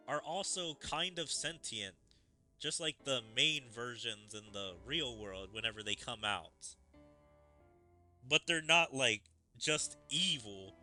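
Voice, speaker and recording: Bright-voiced male streamer musing over a game. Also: mid-range voice. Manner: musing, casual with many "like" fillers. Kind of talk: gameplay commentary. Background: game music.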